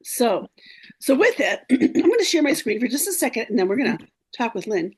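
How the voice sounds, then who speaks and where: A woman's voice: a little high, big pitch swings, brisk. Brief: woman, slightly froggy team leader on a video call, upbeat, cheering her team on. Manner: upbeat, encouraging, clears throat often. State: a little hoarse. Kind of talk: casual team meeting talk.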